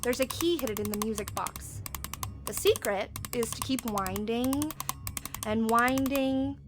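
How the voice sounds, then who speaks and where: Woman playing a high-voiced teenage girl. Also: measured pace, over music, lightly acted. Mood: alert, explaining. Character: sixteen-year-old girl, former child star.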